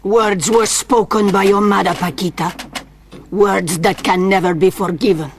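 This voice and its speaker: An actress playing a proud, bitter aunt who speaks in haughty, dramatic declarations. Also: female voice, mid-range voice, fairly even intonation, measured pace, a little hiss or hum, lightly acted.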